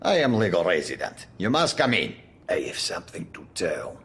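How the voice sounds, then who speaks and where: Animated, pushy Russian businessman. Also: deep voice, moderate pace, noisy background, full character voice. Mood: jovial, insistent. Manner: blunt, insistent, clipped broken English. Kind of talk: audio drama acting.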